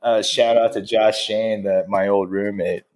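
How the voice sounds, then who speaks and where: A man's voice: fairly low pitch, fairly even intonation, a little slow, a little mellow. A chef in his thirties chatting casually over drinks, rambling with lots of fillers and laughing often. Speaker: chef and restaurant owner. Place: in a restaurant.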